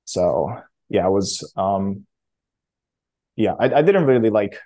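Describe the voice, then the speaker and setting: A man, answering interview questions in a casual, halting way with many restarts. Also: fairly low pitch, expressive, warm tone. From Romania. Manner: halting, frequent self-restarts and fillers. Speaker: startup founder guest.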